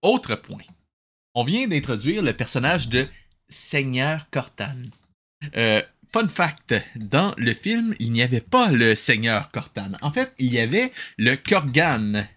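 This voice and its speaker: Lively, sarcastic man with bright voice. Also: medium pitch, takes it slowly. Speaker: host of a comedic cartoon review show. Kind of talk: casual, sarcastic commentary.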